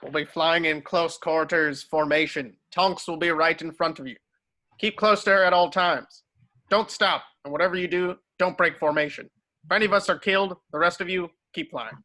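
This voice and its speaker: A man playing a gruff, commanding veteran wizard in an amateur script read, barking clipped orders. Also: on the high side, fairly even intonation, moderate pace, bright, forceful tone.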